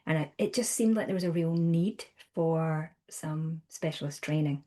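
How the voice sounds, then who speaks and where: A Scottish woman in her thirties speaks as a podcast guest, her voice sitting on the low side. She talks in a chatty, lively way, restarting phrases and acting out what others said. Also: normal speed. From Scotland. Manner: chatty, animated, with restarts and acted-out quotes. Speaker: guest counsellor. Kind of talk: conversational podcast interview.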